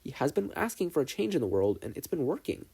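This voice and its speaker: A man's voice: fairly high pitch, very animated, quick pace, gravelly, warm tone, slightly rough, pitched above the performer's own voice, heavily acted. A man voicing an earnest, nerdy teenage boy student who explains things eagerly and at length. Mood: persuasive. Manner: eager, long-winded explaining.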